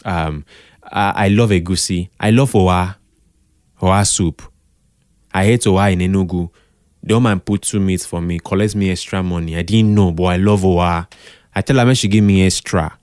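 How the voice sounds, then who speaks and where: A Nigerian man talking casually as an interview guest, expressive, slipping into Pidgin and repeating phrases for emphasis. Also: low voice, normal speed, clean tone, no room sound, clean studio sound. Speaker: podcast guest, radio drama producer.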